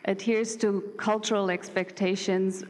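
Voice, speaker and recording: A woman's voice: medium pitch, level tone, measured pace. A woman in the audience at a conference, asking the speaker a question politely and hesitantly, with many fillers. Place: university lecture hall. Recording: reverberant lecture hall, mic at a distance.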